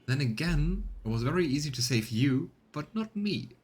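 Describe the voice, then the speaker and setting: A man's voice: a little high, sing-song, moderate pace, darkish tone. A man who streams games, reading out narration and adding casual commentary as he plays. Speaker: let's play streamer.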